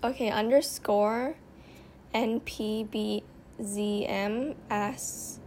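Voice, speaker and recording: Gravelly young woman rambling to her phone. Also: medium pitch, steady tone, extremely slow, a little mellow, slight background noise. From Thailand. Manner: rambling, with many fillers and restarts. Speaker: podcast host. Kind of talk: casual solo chat, answering listener questions. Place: bedroom, by the window.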